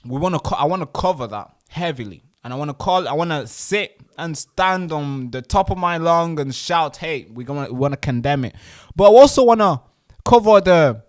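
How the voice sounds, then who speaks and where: A man's voice: on the high side, animated, normal speed, darkish tone. A man hosting an online talk show, speaking in a loose, emphatic way and laughing at his own remarks. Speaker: host. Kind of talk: casual interview talk.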